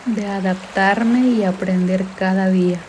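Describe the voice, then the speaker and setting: A woman narrating a guided mindfulness meditation in a calm, gentle, soothing way, giving unhurried instruction. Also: mid-range voice, a little hiss or hum. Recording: clear water and sea ambience behind. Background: sea sounds and background music.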